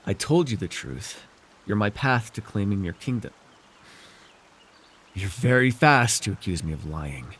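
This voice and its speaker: A man voicing a scheming, weary villain lord in an immersive fantasy ASMR roleplay, wry and persuasive, speaking theatrically with halting, musing pauses and sighs. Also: fairly low pitch, animated, a bit bright, breathy edge, heavily acted. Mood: exasperated, bargaining. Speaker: voice actor.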